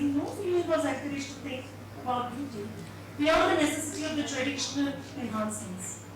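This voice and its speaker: Lady doing a dramatic story reading, high voice, really echoey n far from the mic. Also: background noise. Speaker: reader. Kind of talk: dramatised literary reading, narration. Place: live reading at an indoor event venue.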